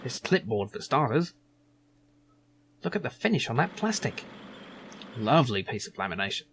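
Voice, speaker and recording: A man's voice: medium pitch, big pitch swings, moderate pace, vocal fry, a bit bright, a little hiss or hum. A man voicing a proud, chatty factory supervisor in an adventure game, earnest and fussy about his job.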